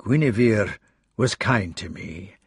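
A man's voice: fairly low pitch, animated, takes it slowly, vocal fry, husky, no room sound, studio quality, heavily acted. A man voicing a wounded, tearful Scottish knight who speaks in strained, despairing tones. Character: wounded, weeping burly male Scottish knight.

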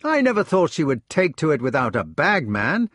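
Eager young knight, as a man puts him on, raised bright voice, bubbly, lively pitch. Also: moderate pace, no room sound, clean studio sound. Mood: excited. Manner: breathless enthusiastic storytelling.

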